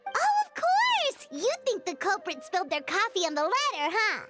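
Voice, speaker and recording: A woman voicing a small, childlike, excitable female companion creature in a video game, with a squeaky voice. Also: normal speed, over loud music, exaggerated voice. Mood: excited.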